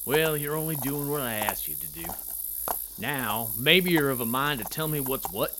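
Animated cowboy range detective, acted by a man. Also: medium pitch, normal speed, noisy background, lightly acted. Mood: measured. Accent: American. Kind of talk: western radio drama acting.

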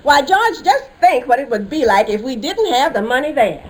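A high-voiced, trusting wife in an old radio sitcom. Her chirpy, emphatic lines rise and fall in a lively sing-song. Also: moderate pace, low-quality recording. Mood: cheerful, insistent. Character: trusting, chirpy wife eager for lodge picnic. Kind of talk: 1950s radio sitcom acting.

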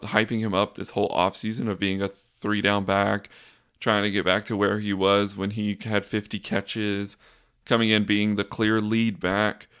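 A young man hosting a casual solo sports podcast, talking in a flat, monotone, rambling way. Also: on the low side, smooth. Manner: monotone, says so himself; relaxed, rambling with fillers and restarts. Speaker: podcast host.

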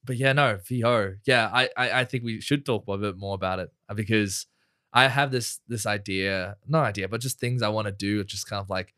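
A man co-hosting a casual podcast, laid-back and rambling, quick to laugh. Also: on the low side, a bit bright, clear, resonant tone, no room sound, studio quality. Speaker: podcast co-host.

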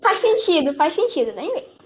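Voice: very high voice, very animated, moderate pace. A teenager in casual chat who laughs and teases. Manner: laughing, teasing.

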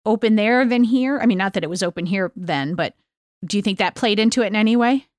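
A woman's voice: mid-range voice, quick pace, darkish tone, no room sound. A middle-aged woman talks as a podcast host in a dry, unechoed recording. Her delivery is conversational and informal, explaining points as she goes.

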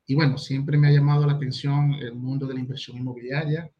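A man's voice: medium pitch, flat delivery, fast. A man with a Venezuelan accent giving courteous, slightly formal answers as an interview guest. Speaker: guest, university lecturer and property investor. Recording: dull, lo-fi call audio.

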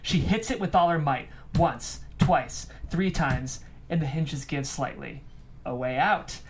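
A woman with a low-pitched voice hosts a script reading. She narrates and interviews, with clear noise in the background. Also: measured pace. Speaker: host and stage-direction narrator.

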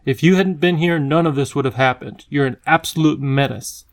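A man voicing a towering, irritable but soft-hearted royal official in a storybook, brisk and grave. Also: mid-range voice, vocal fry, lightly acted. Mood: angry scolding.

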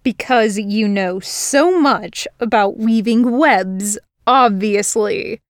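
Woman reader doing a surly male fae of the forest, snarling and slow, dry close sound; storybook read. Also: medium pitch, no echo, natural voice. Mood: sarcastic.

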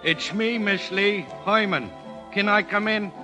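An actor playing a dim, eager racket underling with halting, ungrammatical talk in a 1930s radio drama. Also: male voice, high voice. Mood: eager, muddled. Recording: vintage 1930s radio recording, music behind. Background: music.

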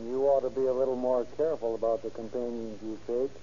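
A man's voice: mid-range voice, fast, a little hiss or hum, close to an everyday voice. A man playing a wry police inspector. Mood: dry. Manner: calm, dry, wry questioning. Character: brisk, confident male police inspector. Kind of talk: 1930s radio acting. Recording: dull old radio recording.

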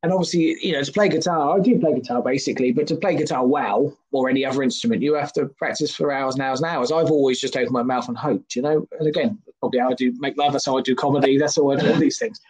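A man's voice: medium pitch, fast, hardly any pauses, a little mellow, breathy edge, low-quality recording. A man in his thirties, a singer and comedian, chatting casually in a self-deprecating, jokey, rambling way. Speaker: podcast guest, singer and comedian. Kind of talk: casual chat.

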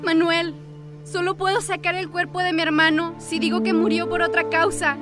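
A very high-voiced young student protester acted by a woman, emotional and earnest. It is radio drama acting, with music underneath. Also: little pitch movement, normal speed. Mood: anguished, resigned. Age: young adult. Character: anguished young female student protester searching for her brother.

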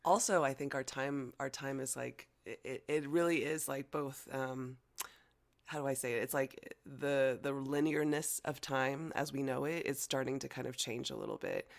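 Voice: mid-range voice, steady tone, normal speed, gravelly. An American-born speaker whose first language was Chinese, chatting casually with many fillers and easy laughter. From United States. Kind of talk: casual conversation.

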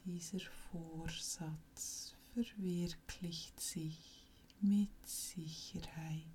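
A woman leading a guided yoga nidra meditation as instructor, speaking softly, almost in a whisper, in a calm and soothing manner with long pauses between short phrases. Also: on the low side. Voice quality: soft, breathy, near-whisper.

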